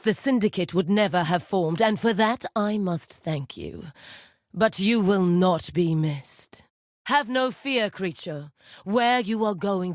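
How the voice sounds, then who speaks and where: A woman playing a commanding, coldly seductive necromancer villainess in a video game, speaking in a grandiose, deliberate, menacing way. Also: fairly low pitch, no echo, studio quality.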